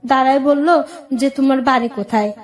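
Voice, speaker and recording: High-pitched woman recounting her life. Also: brisk. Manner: plain-spoken, recounting with acted-out dialogue. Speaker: guest telling own life story. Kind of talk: personal storytelling in an interview.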